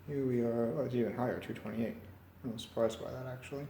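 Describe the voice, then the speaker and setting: A man talks casually through a hands-on repair, working things out as he goes. His middle-pitched voice is recorded in an echoey room, with faint noise behind it. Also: fairly even intonation, fast, gravelly, mellow. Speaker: vintage radio repair hobbyist narrating. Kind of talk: casual hands-on demonstration talk. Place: indoor room at a cluttered workbench.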